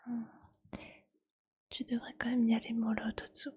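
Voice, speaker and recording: A woman speaking in a breathy ASMR whisper, chatting casually and with gentle teasing like a streamer talking to viewers. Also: on the high side, monotone, normal speed.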